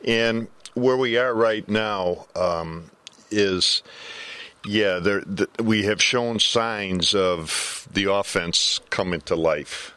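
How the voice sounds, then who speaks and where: An older man talking baseball as a color commentator in a casual panel chat, halting and deliberate, often repeating words. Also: lowish voice, bright, forceful tone, smooth, dry recording. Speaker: color commentator, former coach. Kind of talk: casual sports panel discussion.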